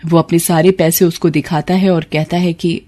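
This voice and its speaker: A woman's voice: on the low side, little pitch movement, moderate pace, mellow. A woman narrating a scripted moral story as its storyteller. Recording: clear background noise.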